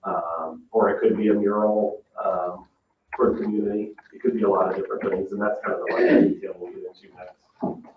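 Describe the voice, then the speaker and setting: Low-voiced man on a distant roomy mic. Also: little pitch movement, fairly quick, narrow, tinny audio, background noise. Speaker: design consultant presenting site plans. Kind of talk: informal meeting discussion. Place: meeting room, mic at a distance.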